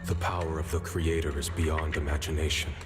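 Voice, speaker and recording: A man playing a solemn, devoted older brother in a video game, gravely intoning a letter. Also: a bass voice, little pitch movement, fairly quick. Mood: solemn, resolute. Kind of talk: video game story voice acting, letter read in voice-over. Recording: loud game music under the voice.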